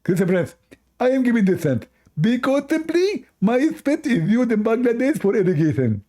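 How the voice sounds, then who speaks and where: A man's voice: extremely high pitch, sing-song, normal speed, mellow, voice pushed up. A man voicing a boastful, indignant male debate caller in a mocking skit, with a raised, defensive delivery. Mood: boasting.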